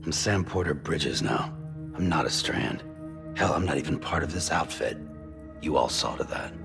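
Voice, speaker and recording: An actor playing a gruff, cynical loner porter in a video game, weary and blunt, with a gravelly voice. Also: male voice, moderate pace, over music. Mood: bitter, resolute. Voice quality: low, gravelly. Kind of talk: cinematic video game acting.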